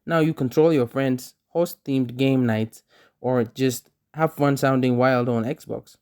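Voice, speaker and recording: A man's voice: medium pitch, steady tone, slow pace, darkish tone, clear, resonant tone. A man hosting a how-to tutorial, reading scripted step-by-step narration. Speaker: tutorial host.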